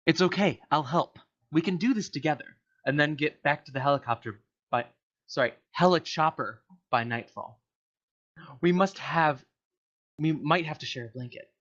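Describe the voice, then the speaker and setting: A man's voice: on the high side, normal speed, a bit bright, pitched above the performer's own voice. Man acting out emphatic, clingy older man. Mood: eager, pushy. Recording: dull lo-fi voice chat audio.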